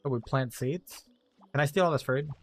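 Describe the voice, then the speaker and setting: Very low-voiced woman commenting on a game. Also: fairly quick, faint music behind. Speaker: gaming streamer. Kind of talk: casual game playthrough commentary.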